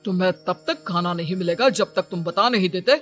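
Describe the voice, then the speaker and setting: A stern middle-aged father from a cartoon moral story, acted by a man. The voice is bright and forceful, always nagging and exasperated, with faint music behind it. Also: high voice, fairly quick. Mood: angry, suspicious. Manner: scolding, nagging.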